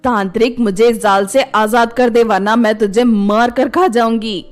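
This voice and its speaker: A woman's voice: a little high, steady tone, measured pace, soft background music, lightly acted. A woman voicing an evil man-eating witch in a children's horror story, speaking in gloating, menacing threats. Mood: angry threatening. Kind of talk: animated horror storybook character voice.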